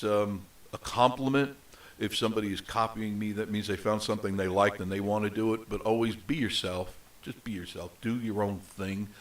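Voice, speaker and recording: Middle-aged male host, nasal, lowish voice, loose unscripted rambling, set back from the mic. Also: distant mic. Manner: rambling, with many fillers and restarts. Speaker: host of a live travel show. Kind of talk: unscripted casual live chat.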